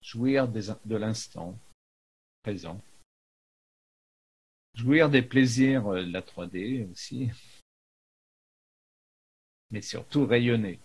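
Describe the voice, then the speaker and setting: A man giving an unscripted spiritual talk as a teacher, in a halting manner with frequent pauses in the middle of phrases. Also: on the low side, slightly rough. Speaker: spiritual teacher, video maker.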